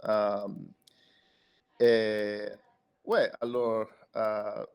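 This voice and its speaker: A man's voice: lowish voice, flat delivery, very slow, a little mellow, clean tone. A man with an American accent, a native English speaker, speaking as a podcast guest in careful, hesitant conversation full of restarts. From United States. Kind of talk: casual conversation.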